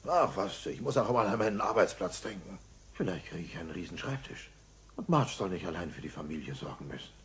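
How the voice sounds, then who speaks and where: An actor playing a bumbling, earnest, excitable middle-aged cartoon father in a German-dubbed audio play, speaking in a declamatory way. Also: sits low, brisk. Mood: hesitant, muttering. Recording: noisy old cassette audio play.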